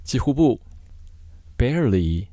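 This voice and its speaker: A man teaching vocabulary word by word in measured, short separated phrases, as a scripted lesson. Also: medium pitch, sing-song, mellow, no echo.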